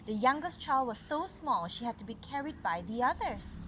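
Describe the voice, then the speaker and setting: Higher-pitched woman reading aloud, noisy background. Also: expressive, normal speed, a bit bright. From Malaysia. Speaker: mother reading a story to a young child. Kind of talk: read-aloud storytelling with conversational asides. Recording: noisy recording with background sounds. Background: ambient noise, young child nearby.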